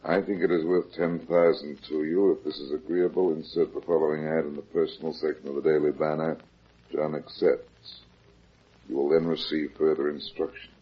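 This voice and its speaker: An actor playing a cool, blunt private detective in a 1950s radio drama, terse and wryly deadpan. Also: male voice, a bass voice, moderate pace, breathy edge. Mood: cool, probing. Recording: muffled 1950s radio recording.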